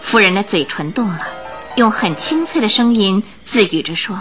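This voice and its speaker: Expressive woman narrating a radio drama. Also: medium pitch, normal speed, soft background music. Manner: expressive, theatrical.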